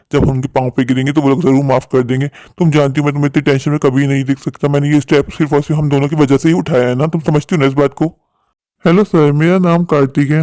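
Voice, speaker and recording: A man's voice: fairly high pitch, a little mellow, no room sound, studio quality, close to an everyday voice. A man playing an earnest, protective young eloping bridegroom in a cartoon story, talking insistently and pleadingly.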